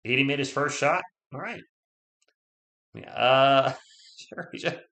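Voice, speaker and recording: A man's voice: mid-range voice, slow, sharp tone, roomy sound. A man hosting a live fan play-by-play stream, chatty and loose, reading viewer comments and rooting for his team while losing his voice.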